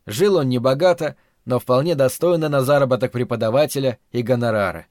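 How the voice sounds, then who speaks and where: Calm male audiobook narrator, dry studio. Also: mid-range voice, no room sound. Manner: measured, calm storytelling. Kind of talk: scripted literary narration.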